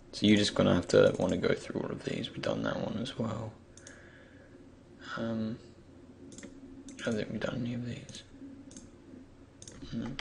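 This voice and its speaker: A young man with a croaky voice, just woken up, thinking aloud with many pauses as he talks through a software tutorial. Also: on the low side, noisy background. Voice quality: croaky, gravelly. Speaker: tutorial creator. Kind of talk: screen-recorded software tutorial.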